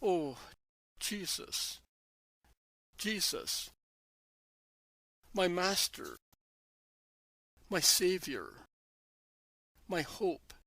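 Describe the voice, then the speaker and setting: Grieving young woman disciple, raised pitch, anguished, pleading, bright tone, as a male narrator puts her on. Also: animated, measured pace, long pauses, slightly rough, no room sound, full character voice, rough recording. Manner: anguished, pleading, breaking cries.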